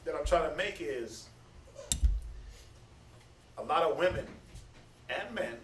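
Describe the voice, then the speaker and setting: A man in his thirties gives a laid-back scripture talk, stammering and doubling back on his words. His voice sits a little high for a man. He is recorded from a distance in an echoey room with a faint hiss behind him. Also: animated, slow, a bit bright. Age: 30s. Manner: conversational, preachy, stammers and repeats words. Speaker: Bible study speaker. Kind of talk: casual teaching talk.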